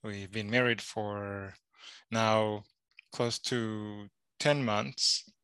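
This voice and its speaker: A young man from Rwanda chats as a podcast guest, unhurried and soft-spoken, often pausing on fillers. His voice is bright and smooth. The sound is dry and close. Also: lowish voice, fairly even intonation, dry recording. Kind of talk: casual conversation.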